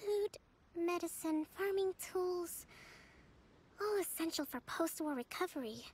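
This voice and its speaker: A woman voicing a gentle, composed young female strategist in a video game, speaking in a measured, formal, thoughtful way. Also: very high-pitched, animated, a little hiss or hum. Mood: reasoning.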